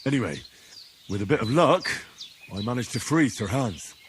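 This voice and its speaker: An actor playing a modest young commoner hero who speaks plainly with a dry sense of humor, in a game cutscene. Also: male voice, mid-range voice, lively intonation, normal speed, noisy background, close to an everyday voice. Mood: wry, teasing. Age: young adult. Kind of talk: video game cutscene acting.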